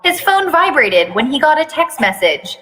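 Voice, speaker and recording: A woman doing a dramatic reading of fan fiction, with sarcastic, deadpan asides. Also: sits high, moderate pace. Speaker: reader performing a fan fiction aloud. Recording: long hall-like reverb.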